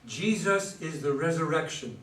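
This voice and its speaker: A man's voice: a little high, lively intonation, moderate pace, fairly bright tone. An actor in a stage play reading, playing a solemn, delusional young man who speaks like an oracle, in an echoey room away from the mic. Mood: grave, prophetic. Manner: solemn, oracular, repetitive. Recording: roomy, distant mic, faint noise.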